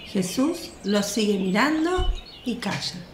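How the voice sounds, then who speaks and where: A woman reads scripted narration for a radio play. Her pitch is average, and the recording has clear echo with faint birdsong behind. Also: very animated, slow, a little hiss or hum.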